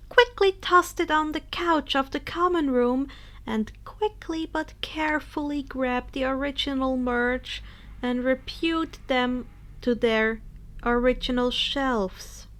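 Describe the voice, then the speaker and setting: A woman's voice: sits high, fairly bright tone. A woman narrating fan fiction in a dramatic storytelling style.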